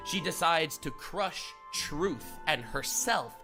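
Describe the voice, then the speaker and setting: Guy narrating an anime essay, bright sharp tone, soft music under it. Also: a little high, expressive, slow pace. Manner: earnest, explanatory. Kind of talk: scripted video essay narration.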